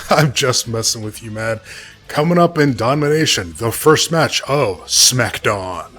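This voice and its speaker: Man playing a husky-voiced bantering fight commentator. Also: mid-range voice, lively intonation, takes it slowly, a bit bright, soft background music. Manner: bantering, slangy. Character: hip-talking, joking male fight commentator. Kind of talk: sports commentary in animated show.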